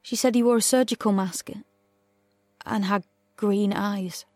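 A woman's voice: medium pitch, steady tone, unhurried, darkish tone, no room sound, close to an everyday voice. An actress playing a wary, defiant young woman in a dystopian audio drama, in both dialogue and intimate narration. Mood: tense, shaken. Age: young adult.

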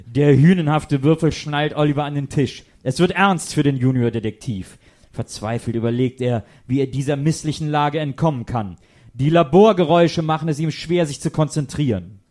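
A man's voice: on the high side, moderate pace, darkish tone, lightly acted. A man narrating a comic radio play in a scripted, mock-dramatic storytelling manner.

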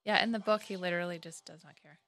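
A woman co-hosting a fan podcast, recapping an episode in casual chat with quick, matter-of-fact remarks. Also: fairly low pitch, steady tone, fairly bright tone. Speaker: podcast co-host.

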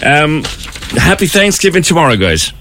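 Irish radio host with a lively voice. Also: male voice, fairly high pitch, moderate pace, a little hiss or hum. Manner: chatty, friendly questioning. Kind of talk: casual radio interview.

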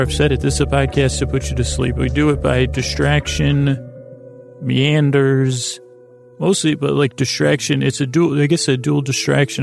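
Gravelly man in a meandering bedtime monologue. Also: medium pitch. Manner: meandering, hesitant, self-interrupting; lulling, soothing delivery. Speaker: podcast host.

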